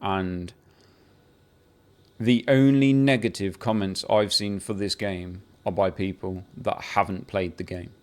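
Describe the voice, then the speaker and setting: A man chatting casually about a video game he has been playing, rambling with restarts and the odd swear, building into excited bursts. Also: sits low, unhurried. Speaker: gaming video creator. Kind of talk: casual unscripted game talk to camera.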